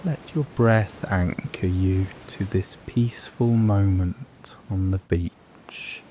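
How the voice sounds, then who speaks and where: A man guiding a scripted meditation in a soothing, gentle, measured way, leaving long pauses between phrases. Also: low voice, fairly even intonation, a little hiss or hum. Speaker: meditation guide.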